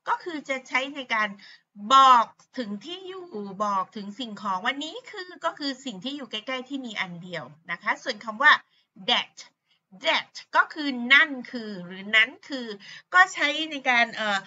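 A middle-aged woman teaches English words in her Thai accent, going slowly and repeating herself with many fillers. Her voice is bright and a little high. The recording sounds dull and lo-fi. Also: animated. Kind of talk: teaching vocabulary to pupils.

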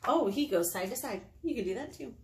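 An American woman in her thirties hosting a children's storytime, expressive and talking directly to small children in a room. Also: fairly high pitch, a little fast, a little hiss or hum. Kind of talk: children's storytime read-aloud with singing. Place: small indoor meeting room. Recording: roomy indoor echo.

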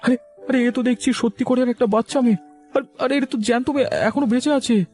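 A man's voice: very high-pitched, steady tone, fast talker, mellow, soft background music. A man voicing a kind-hearted, pious poor villager in a cartoon, exclaiming with pity. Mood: shocked, pitying. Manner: exclamatory, pitying. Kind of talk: cartoon voice acting.

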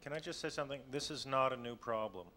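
A man in his thirties making a measured, argumentative policy case as a panelist in a hotel conference room, into a table mic. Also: medium pitch, pushed and bright. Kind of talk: panel discussion. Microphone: table mic on a stand.

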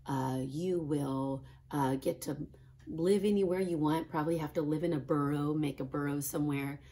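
A middle-aged woman, a kindergarten teacher, reading a picture book and chatting to children in an expressive style. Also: low voice, moderate pace. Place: small classroom, indoors.

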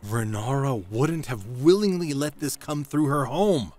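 An actor playing a fussy, anxious fantasy adventurer in an audio drama, proper, fretful and theatrical. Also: male voice, mid-range voice, a little slow, warm tone, natural voice. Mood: alarmed. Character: fussy, anxious male companion in sandals.

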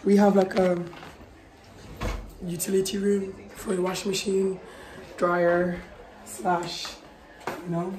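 A woman in her twenties, hoarse after losing her voice, giving a casual vlog tour of a house while filming herself on a phone held at arm's length. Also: lowish voice, level tone, slow pace, long pauses, darkish tone, poor audio. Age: 20s. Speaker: vlogger. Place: large house and garden, indoors and outdoors. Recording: phone audio with clear background noise.

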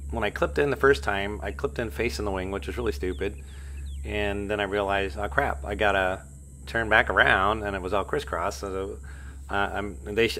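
A man's voice: fairly low pitch, a bit bright, slight background noise. A man in his fifties with a cold, rambling with many ums and uhs as he talks over his own video from a field. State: has a cold. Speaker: hobby paraglider vlogger. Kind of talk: casual voice-over commentary. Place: outdoors in an open field.

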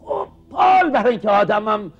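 Eccentric old intellectual, emphatic TV play delivery, highish pitch, dull lo-fi sound. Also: male voice, very animated, husky, full character voice. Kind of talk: televised stage drama acting. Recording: dull old TV audio, faint music.

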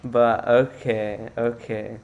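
A man's voice: medium pitch, flat delivery, slow pace, vocal fry, a little mellow, dull audio, faint music behind. A man co-hosting a casual game tour, talking in a teasing, playfully boastful way. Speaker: co-host of a Roblox build tour.

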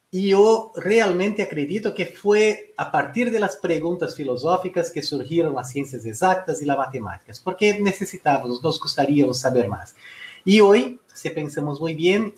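Man, roomy home office. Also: fairly high pitch. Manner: chatty, with frequent filler sounds and restarts. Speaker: conference speaker. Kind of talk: online conference talk.

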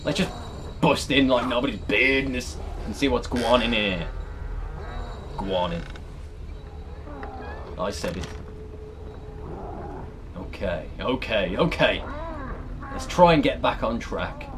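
Animated British man, sweary gamer. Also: medium pitch, slow pace, long pauses. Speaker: let's player. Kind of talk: casual reaction commentary over a horror game. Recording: clear background noise and game ambience.

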